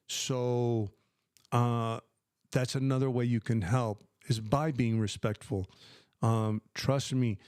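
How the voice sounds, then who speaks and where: A man's voice: mid-range voice, fairly even intonation, takes it slowly, darkish tone, clear, resonant tone, no echo. Older American man, retired streamer, clean resonant voice, dry close sound, chatty with lots of "um"s. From United States. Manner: conversational, many fillers, answers viewer questions by name. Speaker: live stream host. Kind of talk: casual live stream Q&A.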